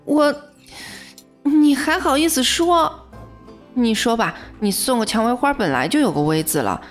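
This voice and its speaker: A woman's voice: fairly high pitch, lively intonation, measured pace. Young office woman over music. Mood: flustered, exasperated. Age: young adult. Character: young female office worker, modest, unsure in love. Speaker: narrator and lead actress. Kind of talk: first-person audio drama narration and dialogue. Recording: music bed behind the voice.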